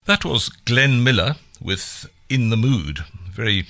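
A male radio host with a bright, clear voice that moves up and down a lot. He speaks in a polished presenter style, interviewing a guest and introducing music. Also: mid-range voice, very animated, slow pace.

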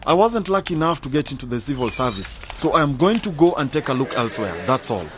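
A man's voice: medium pitch, very animated, fast, fairly bright tone, slight background noise. A man acting in a radio drama as a stubborn, sceptical young graduate who is frustrated and argumentative. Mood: defiant. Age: young adult. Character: frustrated, stubborn young male sociology graduate set on emigrating.

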